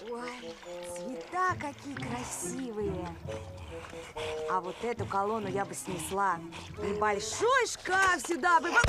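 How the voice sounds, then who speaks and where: A headstrong, bossy cartoon princess. She has a high voice and an imperious, capricious way of speaking, with loud music behind her. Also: very animated, slow pace. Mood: breezy, bossy. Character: big, bossy, headstrong fairy-tale princess. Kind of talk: animated film acting.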